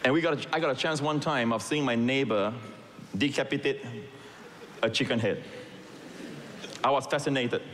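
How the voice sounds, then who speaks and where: A man's voice: medium pitch, normal speed, pauses often, a bit bright, a little hiss or hum. A middle-aged man from Singapore, raised in Malaysia, preaching a sermon with emphatic asides and calls to the congregation. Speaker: pastor. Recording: long reverb in a large hall.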